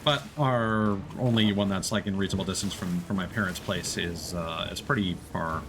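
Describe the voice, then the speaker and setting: A man's voice: sits low, normal speed, background noise. A man casually chatting and joking while streaming a video game, in a conversational, slightly hesitant way. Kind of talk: casual chat while gaming. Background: video game sea and ship ambience.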